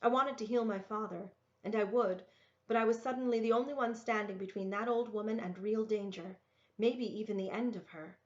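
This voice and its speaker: A woman reading a story aloud in an expressive storybook narration style. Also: mid-range voice, a little fast. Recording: roomy, echoey, dull lo-fi sound.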